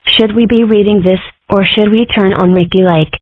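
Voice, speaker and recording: A woman's voice: mid-range voice, steady tone, moderate pace, a little hiss or hum, natural voice. Woman voicing sassy fox girl, tinny. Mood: flippant. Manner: flippant, scheming. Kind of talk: fan comic dub. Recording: tinny, dry phone-like audio.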